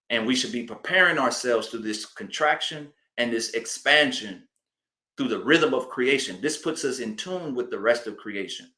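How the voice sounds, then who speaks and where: Echoey male imam giving a deliberate sermon. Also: medium pitch, bright, forceful tone. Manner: deliberate, emphatic, repeats phrases for emphasis. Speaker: imam giving a religious address. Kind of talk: sermon, public teaching address. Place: indoor hall of a mosque. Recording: roomy, echoing live address.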